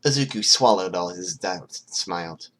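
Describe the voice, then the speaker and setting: Lively, husky fiction narrator. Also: low voice, moderate pace. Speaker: audiobook narrator.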